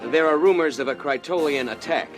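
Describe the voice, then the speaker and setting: An actor playing a respectful, hesitant questioner at a government briefing in an audio adventure. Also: male voice, a little high, a little fast, lightly acted. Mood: uneasy, curious. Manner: hesitant, polite. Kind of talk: audio adventure record acting. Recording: vintage LP with music behind.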